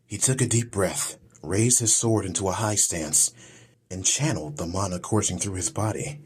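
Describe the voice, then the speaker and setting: A man's voice: deep voice, moderate pace, slightly rough. A man reading a scripted, dramatic story as an audiobook narrator.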